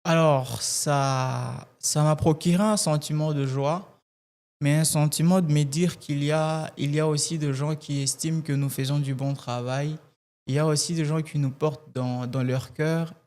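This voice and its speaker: Measured, slightly high-voiced Congolese man. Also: steady tone, darkish tone. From Kasai-Oriental, DR Congo. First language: Tshiluba. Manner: measured, repeats words while searching for phrasing. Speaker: gospel singer and writer, interview guest. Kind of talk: interview answers.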